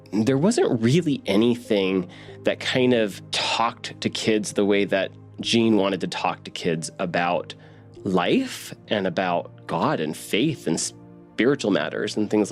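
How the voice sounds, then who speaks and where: Low-voiced man, casual and conversational. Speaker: media producer. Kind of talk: casual interview reminiscence.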